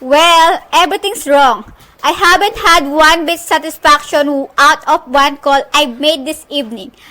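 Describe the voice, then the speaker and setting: A woman's voice: sits high, measured pace, pushed and bright. A woman acting in an amateur suspense radio play as a nervous, irritable bedridden woman, complaining imperiously on the telephone. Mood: exasperated.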